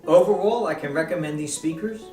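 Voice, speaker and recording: A man giving a spoken product review as an equipment reviewer, with measured, deliberate phrasing. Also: fairly high pitch. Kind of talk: spoken product review to camera, semi-scripted. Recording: roomy echo, distant from the mic. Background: quiet plucked guitar or lute music.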